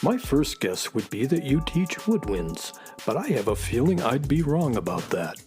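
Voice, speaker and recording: An actor playing a gentle, droll space horse sidekick in a children's radio drama, with a dry, mildly formal manner. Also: male voice, mid-range voice, big pitch swings, moderate pace, faint music behind, close to an everyday voice. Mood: wry, curious.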